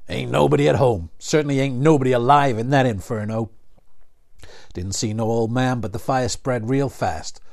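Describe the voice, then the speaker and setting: A man's voice: medium pitch, moderate pace, vocal fry, a little mellow, natural voice. A man doing a snarling Wild outlaw. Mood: menacing taunt. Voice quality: snarling, taunting, mocking. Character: sneering outlaw gang leader, male, cruel and mocking.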